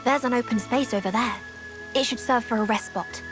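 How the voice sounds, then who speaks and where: An actress playing a composed, wise and formal travelling companion in a video game cutscene, speaking in measured, articulate phrases. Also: female voice, fairly high pitch, over music, lightly acted. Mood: matter-of-fact.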